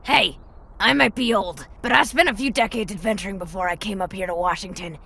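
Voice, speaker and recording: A woman playing a tough, protective old adventurer with a raspy voice in an audio drama. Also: mid-range voice, fairly quick, pushed and bright. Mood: bossy, urging. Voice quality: raspy, gravelly.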